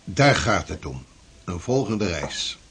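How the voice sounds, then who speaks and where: An actor playing a shrewd 16th-century preacher who speaks in a lively, formal, old-fashioned way. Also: male voice, low voice, slow pace, slight background noise, exaggerated voice. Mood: earnest. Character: shrewd 16th-century Dutch preacher, fierce against Spain. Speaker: preacher and cartographer. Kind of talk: radio drama acting.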